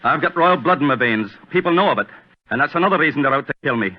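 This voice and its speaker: An actor playing an agitated, self-important gentleman, fearful and obsessed, with a dramatic, overwrought delivery in 1940s radio drama style. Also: a little high, lively intonation, fast talker. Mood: proud, agitated. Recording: muffled old radio recording.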